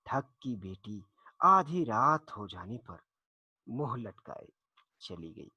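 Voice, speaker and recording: A man narrating a folktale for children in an expressive, deliberate storytelling manner with dramatic pauses. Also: mid-range voice, slightly rough, dry recording.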